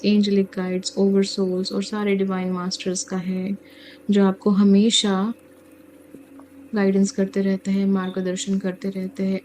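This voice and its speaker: A woman leading a guided meditation as a teacher, speaking calmly in short, measured phrases with pauses. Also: mid-range voice, slight background noise. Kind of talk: guided meditation, slow soothing instruction. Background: music.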